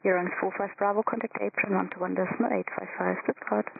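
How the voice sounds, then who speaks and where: Rapid-fire air traffic controller over crackly radio. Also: medium pitch, level tone, creaky, no echo. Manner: procedural, reciting instructions and numbers. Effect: aviation radio. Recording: narrow crackly airband radio, faint static.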